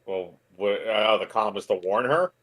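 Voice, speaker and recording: A man's voice: fairly low pitch, normal speed, a bit bright. A man co-hosting a game playthrough in casual chat, with sarcastic, sweary remarks.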